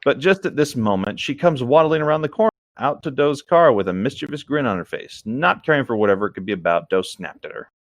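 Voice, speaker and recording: A man's voice: medium pitch, animated, fast, few pauses, no room sound. A man co-hosting a casual show, bantering and reading aloud informally, dropping in his own comments. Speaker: co-host reading a story aloud.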